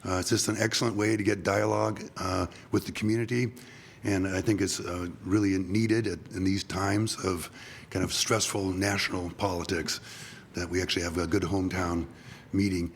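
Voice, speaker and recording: An older man running for office, giving a halting forum statement, his voice scratchy from a cold. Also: deep voice, little pitch movement, creaky. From Seattle. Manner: halting, many ums and uhs. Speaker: political candidate.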